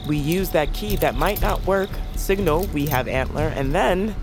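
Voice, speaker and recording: Bold wary winged fighter lady, lowish voice, dry sarcasm, bossy, fantasy podcast drama w music behind. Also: lively intonation, a little slow. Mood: wry, businesslike. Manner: blunt, sardonic, commanding. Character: bold, wary winged female warrior on a rescue mission. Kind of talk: audio fiction drama acting.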